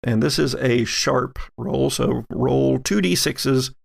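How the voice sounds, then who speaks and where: A man narrating a tabletop role-playing game as game master, haltingly searching for words as he describes scenes. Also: mid-range voice, steady tone, gravelly, slightly rough.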